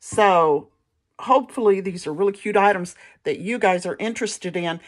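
A woman's voice: mid-range voice, normal speed. A woman host casually showing off her thrift finds, chatty and rambling with lots of fillers and restarts. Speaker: vintage reseller host. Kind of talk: casual haul show-and-tell narration.

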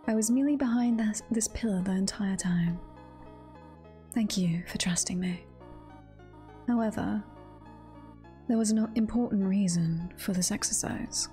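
A woman voicing a gentle, protective motherly monster in a video game, half-whispered, with careful formal phrasing. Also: mid-range voice, lightly acted.

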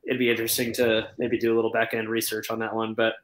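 A man's voice: on the low side, completely flat delivery, quick pace, background noise. A man co-hosting a casual soccer podcast over a video call, speaking in a tentative, conciliatory way. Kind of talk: casual sports discussion. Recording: roomy, echoey call audio.

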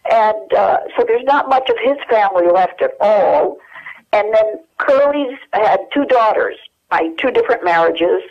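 Older woman, phone-in guest, halting and chatty; muffled telephone sound. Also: mid-range voice, lively intonation. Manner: rambling, halting with many fillers. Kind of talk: telephone interview.

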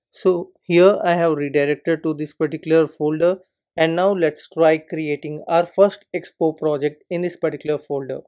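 A man's voice: a little high, lo-fi sound. A man narrating a screen-recorded coding tutorial, walking through each step and leaning often on the word "particular". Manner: steady step-by-step explaining, repeats "particular".

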